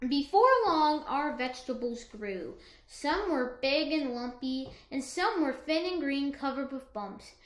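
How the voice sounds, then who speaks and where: A woman co-reading a children's picture book aloud in an expressive, emphatic way. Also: sits high, measured pace, hardly any pauses, echoey room. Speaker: co-reader of a children's story.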